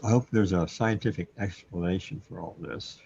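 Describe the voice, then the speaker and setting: A man's voice: sits low, normal speed, vocal fry, darkish tone, slight background noise. An actor playing a troubled, self-doubting man trapped alone in a surreal universe, brooding and questioning in a sci-fi radio drama. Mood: bewildered. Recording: dull, lo-fi audio.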